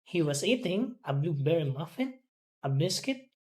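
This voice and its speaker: An English student carefully reading words and sentences aloud, repeating them deliberately as in a pronunciation drill. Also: medium pitch, big pitch swings, a little mellow. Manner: deliberate, repeating after a teacher.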